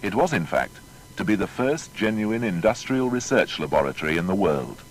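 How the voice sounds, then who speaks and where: A man's voice: sing-song, moderate pace. A man narrating a scripted documentary biography in a formal, storytelling manner. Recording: faint noise with low rumble.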